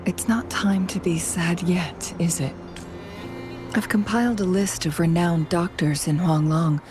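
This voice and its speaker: A woman's voice: fairly low pitch, fairly even intonation, unhurried, music in the background, natural voice. An actress playing a composed, gracious noblewoman strategist in a video game, speaking in a polished, lightly teasing way. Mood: calm, gracious.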